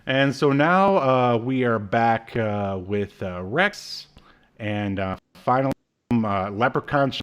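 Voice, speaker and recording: A man in his thirties chats as a podcast co-host. His voice sits at an average pitch. He recaps in a halting, casual way, piece by piece. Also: lively intonation, clear, resonant tone. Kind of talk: casual recap and review chat. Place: small indoor room, video call.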